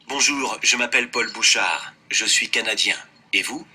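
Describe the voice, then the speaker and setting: A man's voice: fairly low pitch, moderate pace, bright, forceful tone, slightly rough, heavily acted. A man voicing an adult man answering simple questions in a scripted textbook dialogue, plain and matter-of-fact.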